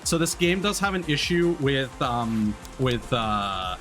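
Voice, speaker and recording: A man's voice: medium pitch, big pitch swings, takes it slowly, a bit bright, faint music behind. A man streaming a game with casual, informal, sweary live commentary. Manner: informal, sweary, self-critical. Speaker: streamer, VTuber.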